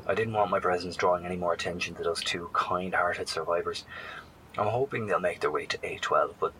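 A faint rumbling noise sits under a very deep, crisp-edged male voice. It is a man acting a careful, kind wasteland survivor in a horror audio drama, measured and a little hesitant as he reports in over the radio. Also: sharp tone, poor audio. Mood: subdued, guarded. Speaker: actor.

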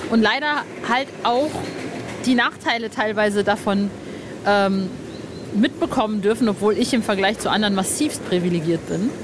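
A woman's voice: medium pitch, normal speed. A woman from Ostwestfalen in Germany chatting casually as a podcast interviewer, blunt and informal. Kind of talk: casual chat. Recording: clear ambient background noise.

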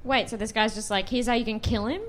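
A woman's voice: fairly high pitch, fairly even intonation, fast talker. A woman in casual podcast chat, asking curious questions.

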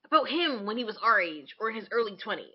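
A woman's voice: mid-range voice, sing-song, husky, dull audio. A woman in her twenties co-hosting a podcast, chatting casually and candidly with a friend and swapping anecdotes. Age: 20s. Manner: candid, informal, swearing, quick to laugh. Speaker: podcast co-host.

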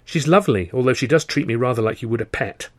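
A man's voice: medium pitch, quick pace, no room sound, natural voice. A man voicing a flighty, teasing young woman dancer in audiobook dialogue, rambling breezily. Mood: chatty. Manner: breezy, rambling, with tag questions.

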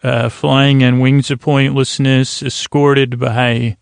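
A man with a creaky voice hosting a sleep podcast, rambling in a mumbling, stumbling, digressive bedtime monologue. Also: medium pitch, flat delivery, takes it slowly, no echo, clean studio sound.

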